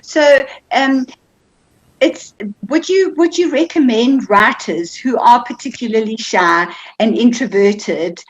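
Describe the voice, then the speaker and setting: A woman taking part in a seminar, casually discussing and asking questions in a halting way, often repeating words. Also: a little high, highs cut off. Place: online video-call seminar.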